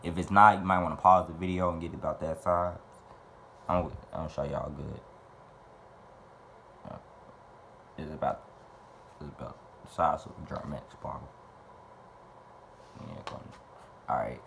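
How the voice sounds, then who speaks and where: A young man from Arkansas talks to his camera in a small bedroom, loose, rambling and profane. His voice sits very low yet comes across bright and forceful. A faint hiss of background noise sits under the close webcam sound. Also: steady tone, moderate pace, creaky, long pauses. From Little Rock, Arkansas. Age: 20s. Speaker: vlogger answering fan questions. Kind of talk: casual Q&A monologue to camera.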